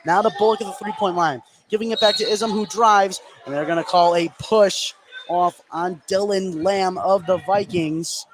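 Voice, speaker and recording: A man's voice: high-pitched, fairly even intonation, normal speed. An American man doing live radio basketball play-by-play as the game's commentator. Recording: noisy gym ambience behind commentary.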